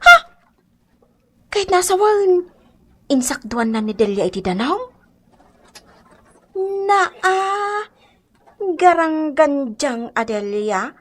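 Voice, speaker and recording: A woman's voice: high voice, big pitch swings, slow, pauses often, fairly bright tone, heavily acted. A woman with an Ilocano accent playing an insistent, gossipy village woman in a radio comedy drama, exclamatory and dramatic. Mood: gossipy, scandalized.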